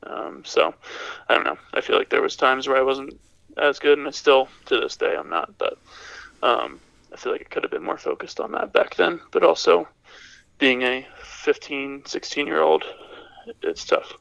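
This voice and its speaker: A man with a raspy voice from being sick, chatting casually and hesitantly as a podcast guest, with dry, self-deprecating humor. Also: mid-range voice, big pitch swings, moderate pace, a bit bright, no echo, low-quality recording. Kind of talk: casual chat, reflective.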